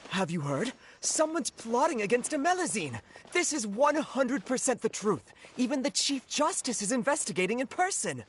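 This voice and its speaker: Animated, earnest townswoman. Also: a little high, moderate pace, slight background noise. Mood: urgent, gossiping. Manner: earnest, urgent. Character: earnest, agitated townsperson rallying others. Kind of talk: video game voice acting.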